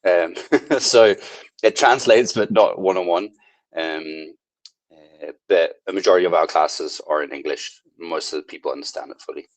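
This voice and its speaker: A man's voice: sits low, normal speed, no echo, rough recording. A man from South Africa who owns and coaches at a gym, talking casually in an interview with frequent ums and restarts. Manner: hesitant, many ums and restarts.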